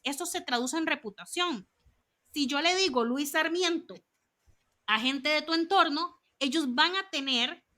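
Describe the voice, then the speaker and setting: A woman in her thirties with a Costa Rican accent, a guest telling stories in an animated, chatty way in an interview. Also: high-pitched. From Costa Rica. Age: 30s. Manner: animated, chatty storytelling with lots of asides. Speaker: guest, communications consultant.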